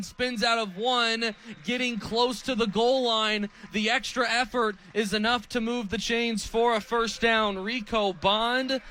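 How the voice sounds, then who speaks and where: Young american guy doing football play by play on radio, high pitched and really forceful voice. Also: slight background noise. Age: 20s.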